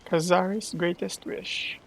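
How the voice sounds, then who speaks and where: A woman's voice: fairly low pitch, steady tone, slow, vocal fry, a little hiss or hum, poor audio. A woman giving offhand commentary as she plays a video game, sometimes reading out dialogue choices. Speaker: gaming YouTuber playing a game. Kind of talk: casual playthrough commentary.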